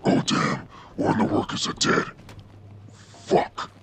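A man voicing a cocky, crude, goofy armored superhero in a comedic game parody dub, gravelly and deadpan. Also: measured pace, close to an everyday voice. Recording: noisy amateur dub with game ambience.

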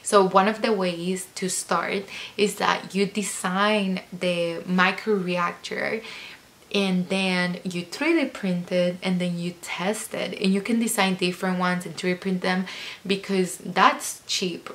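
A young woman from El Salvador talks through her studies in an easy, rambling way, often leaning on "like" and "um." Her voice sits on the lower side for a woman. She is recorded at desk distance in a small bright room. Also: fairly even intonation, takes it slowly. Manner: chatty, many "like" and "um" fillers. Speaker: guest, chemical engineering PhD student. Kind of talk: casual interview answers.

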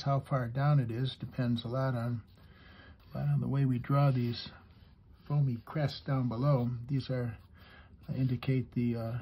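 A man giving an unhurried, step-by-step drawing lesson for kids, talking as he draws and pausing mid-sentence, with a husky voice. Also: medium pitch, lo-fi sound, slight background noise. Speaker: drawing teacher.

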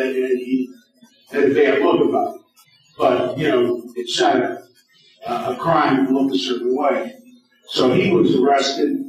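Earnest middle-aged lawyer in an echoey hall. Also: male voice, mid-range voice, darkish tone. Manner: earnest, urgent, storytelling with emphatic repetition. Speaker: lawyer giving a lecture. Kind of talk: speech to an audience. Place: large hall, on stage at a podium. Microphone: podium microphone on a stand. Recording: echoey hall, distant, slightly dull.

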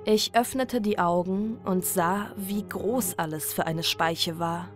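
A woman narrating a scripted first-person horror story in a measured, dramatic manner. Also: lowish voice, soft background music.

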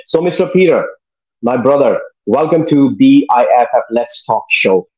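A middle-aged man hosts an online talk show from his living room, cheerful and casual in his phrasing. He sits at a mid pitch, and his webcam audio comes through dull and a little muffled. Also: animated, normal speed, darkish tone, husky. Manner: enthusiastic, loose informal phrasing. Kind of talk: video call interview hosting. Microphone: video call webcam.

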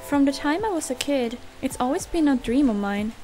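A woman's voice: high-pitched, expressive, moderate pace, warm tone, soft background music. A woman voicing a bubbly, chatty young cat-eared pop singer in a visual novel fan dub. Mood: cheerful.

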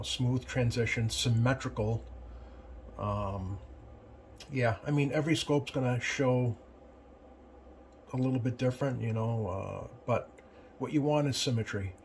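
Guy doing a casual workbench how-to, kinda lower-mid voice, stops and starts a lot, noticeable background noise. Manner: plain-spoken, hesitant, pausing often mid-sentence. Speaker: CB radio repair technician presenting. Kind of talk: casual hands-on tutorial narration. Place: indoor electronics workbench. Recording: clear background noise, home workbench room.

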